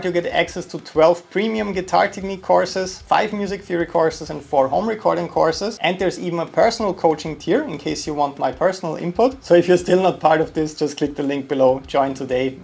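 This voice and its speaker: A man's voice: on the high side, vocal fry. A man with an Austrian accent, teaching an online guitar lesson in an upbeat, encouraging way.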